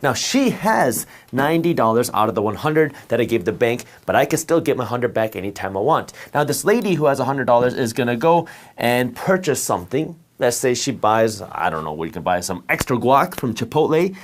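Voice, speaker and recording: A man's voice: mid-range voice, sing-song, a little fast. A man whose first language is Punjabi, giving a step-by-step explanation of banking while drawing a diagram. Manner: step-by-step explanation with worked examples. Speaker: finance educator.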